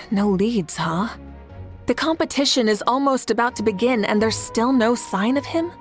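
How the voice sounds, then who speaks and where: A woman's voice: on the high side, a little slow, faint music behind, natural voice. A woman playing a composed, commanding young leader hosting a tournament in a video game, stately and rousing. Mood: composed, concerned. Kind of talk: video game character acting.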